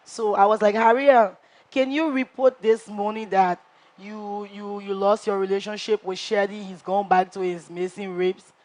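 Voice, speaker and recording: A woman plays a brash, dramatic reality-show contestant. She tells her side with lively storytelling and acts out everyone's lines. Her voice sits in a middle pitch. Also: normal speed, close to an everyday voice. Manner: animated storytelling, acts out quotes.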